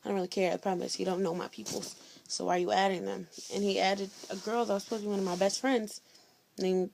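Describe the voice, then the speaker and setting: A teenage girl from Indiana, chatting straight to her camera about school drama. She talks in a blunt, sassy, slangy way, and her voice has a loud edge. Her voice sits at a middle pitch and drags into a gravelly fry. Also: level tone, quick pace, slight background noise. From Indiana, USA. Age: teens. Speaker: vlogger. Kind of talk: casual storytelling vlog.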